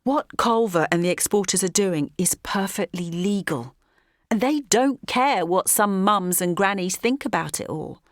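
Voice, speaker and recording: A woman playing a determined, organised small-town campaigner in an audio drama, with an articulate, measured delivery. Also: mid-range voice, expressive, natural voice. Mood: earnest, frustrated. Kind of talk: naturalistic audio drama, dialogue and narration.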